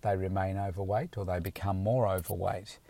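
A man's voice: low voice, level tone, normal speed, creaky, mellow, dry recording. A man, a fitness coach talking frankly and casually from his car, rambling with many restarts. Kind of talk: casual motivational talk to camera. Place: inside a car.

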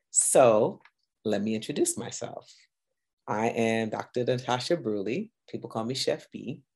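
A woman's voice: rumbling low, darkish tone. A middle-aged woman from Guyana hosting a podcast, talking earnestly and upbeat in a casual solo chat with some fillers. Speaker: podcast host.

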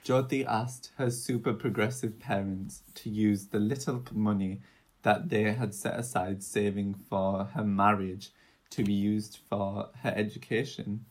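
Young woman with a very low voice. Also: steady tone, unhurried, darkish tone. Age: young adult. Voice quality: low, soothing voice. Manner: chatty, rambling, searches for words. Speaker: podcast co-host. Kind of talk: casual true-crime podcast chat.

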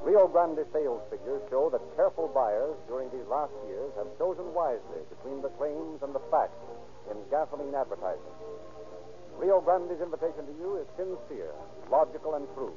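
A man announcing in scripted 1930s radio style, emphatic, deliberate and declamatory. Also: mid-range voice, expressive, music in the background. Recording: tinny old radio recording.

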